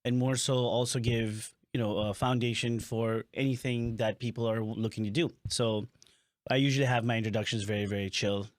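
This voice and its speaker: A young man hosting a podcast, relaxed and rambling, laughing easily as he talks. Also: mid-range voice, fairly quick, no room sound, clean studio sound. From born in Africa, partly raised in Nepal. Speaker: podcast host. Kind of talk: casual chat.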